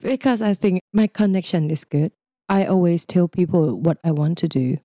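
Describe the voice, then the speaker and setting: A woman's voice: fairly low pitch, normal speed, dark tone, dry recording, studio quality. A young Thai woman with a Thai accent, speaking English as a second language in a casual, chatty interview and laughing often. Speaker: guest.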